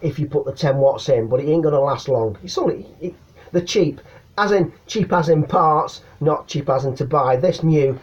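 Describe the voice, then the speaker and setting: A man's voice: mid-range voice, darkish tone, dull audio. A middle-aged man with an English accent giving casual, slangy advice to camera, hesitating and repeating words as he goes. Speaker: CB radio hobbyist giving advice. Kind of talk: casual chatty explainer to camera. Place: small attic room at home.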